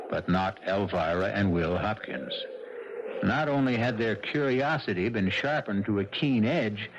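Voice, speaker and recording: An actor as the wry, ominous host of a 1970s radio mystery, telling the tale with theatrical, deliberate pauses. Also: male voice, fairly low pitch. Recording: muffled old radio broadcast. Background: music.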